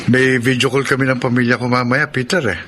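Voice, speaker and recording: A man acting in a radio drama as a caring, principled seaman and father, speaking earnestly and gently. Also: medium pitch, level tone, a little fast, noisy background, close to an everyday voice.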